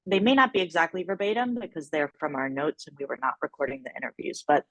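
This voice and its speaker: Woman, workshop presenter, lowish pitch, hesitant measured phrasing, informal delivery, very little room echo. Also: sing-song, fairly bright tone. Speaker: workshop presenter on an evaluation team.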